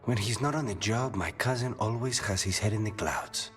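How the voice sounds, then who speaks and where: A man's voice: on the low side, moderate pace, fairly bright tone, soft background music, close to an everyday voice. An actor playing a brooding, guarded male assassin with an intense streak in a video game. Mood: worried.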